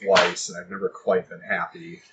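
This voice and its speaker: A man's voice: deep voice, very animated, normal speed, gravelly. A man chatting casually as an interview guest, talking in a rambling, self-deprecating way. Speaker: guest playwright and author. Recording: dull lo-fi recording with faint noise.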